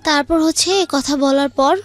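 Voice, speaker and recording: Young female guest, high voice, Bangladeshi accent, informal personal storytelling over faint music. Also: steady tone, a little slow. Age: young adult. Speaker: guest telling her life story.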